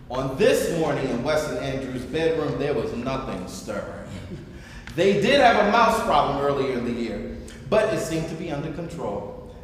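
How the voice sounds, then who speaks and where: A man hosting a stage event, speaking to an audience with an enthusiastic, theatrical delivery. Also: a little high. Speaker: host. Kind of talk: addressing a theater audience, then reading narration. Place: large reverberant indoor venue. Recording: echoey hall, distant, faint noise.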